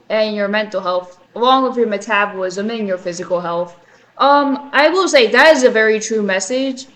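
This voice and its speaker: A woman's voice: mid-range voice, rough recording. A woman hosting a reaction video with casual, joking commentary and playful asides.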